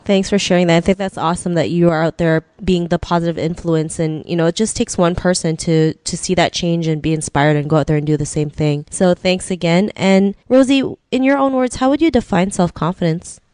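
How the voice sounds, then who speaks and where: A woman's voice: on the low side, brisk, hardly any pauses, a little mellow, dry recording. A woman hosting an interview podcast, talking conversationally with frequent "you know" filler phrases. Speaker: podcast host.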